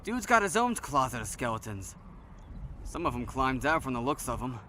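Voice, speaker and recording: A man's voice: medium pitch, very animated, moderate pace, bright, forceful tone, slight background noise. A man playing an easygoing, philosophical survivor in an audio drama, wry, slangy and teasing. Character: easygoing, philosophical male survivor, restless tinkerer. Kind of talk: audio drama acting, casual dialogue.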